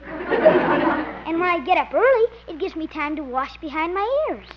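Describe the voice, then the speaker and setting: A woman's voice: very high voice, sing-song, measured pace, crowd noise, heavily acted. A woman playing an eager, over-polite little schoolboy in a 1950s radio comedy, speaking with sweet earnestness. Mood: angelically polite. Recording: noisy, muffled old radio recording.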